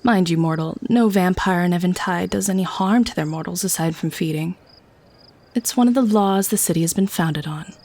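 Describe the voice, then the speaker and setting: A woman's voice: on the low side, moderate pace, a little mellow, no echo. Woman doing a smug vampire lady, teasing n nonchalant, asmr roleplay style. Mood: dismissive, amused. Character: teasing, cynical vampire woman, warden of mortal prisoners. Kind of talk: ASMR audio roleplay acting.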